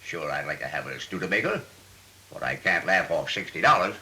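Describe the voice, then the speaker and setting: An actor playing a blunt, skeptical man haggling over a car in a mid-century training film, gruff and quick to interrupt. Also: deep voice, sing-song, bright, forceful tone, heavily acted. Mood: indignant.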